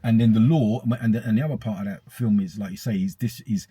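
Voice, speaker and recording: A man's voice: on the low side, fast, dark tone, husky, no echo. A man with a British accent co-hosting a podcast, giving opinions in casual conversation with an emphatic, colloquial manner and repeating phrases for emphasis. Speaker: podcast co-host.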